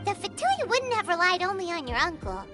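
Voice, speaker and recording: A woman voicing a tiny, chatty, indignant fairy companion in a video game, with a squeaky childlike voice. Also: sing-song, a little fast. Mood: excited. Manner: refers to self in third person, exclamatory. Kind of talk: anime-style video game voice acting. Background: game music.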